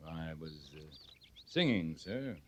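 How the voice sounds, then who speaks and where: An actor playing a laconic, unflappable cavalry captain with folksy, dry humor in a 1950s Western radio drama. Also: male voice, low-pitched, slow. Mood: deadpan, respectful. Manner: deadpan, plain-spoken, folksy.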